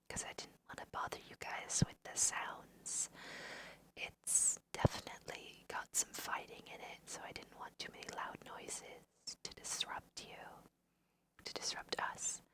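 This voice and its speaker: A young woman whispering gently and softly in an unhurried ASMR style as she guides listeners through a video game. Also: poor audio. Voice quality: soft breathy whisper. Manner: gentle, unhurried, hushed guiding. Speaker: host of an ASMR gaming video. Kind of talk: ASMR let's play commentary.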